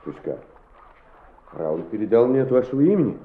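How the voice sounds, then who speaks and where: A man playing an energetic, exacting but good-natured school director in a radio play, emphatic and instructive in his speech. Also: medium pitch, a little fast, natural voice. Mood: measured, tactful. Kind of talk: Soviet-era radio play acting. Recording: muffled, echoey old radio recording, background bustle.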